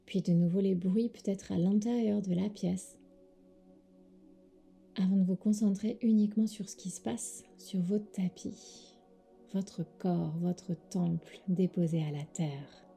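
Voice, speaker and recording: A woman with a soft, breathy voice leads a guided sleep meditation as a yoga teacher, giving gentle, soothing instructions with pauses. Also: medium pitch, soft background music.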